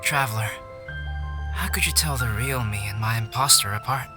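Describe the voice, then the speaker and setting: An actor playing a calm, analytical young man in a video game cutscene, speaking in a measured, articulate and formal way. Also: mid-range voice, music in the background, lightly acted.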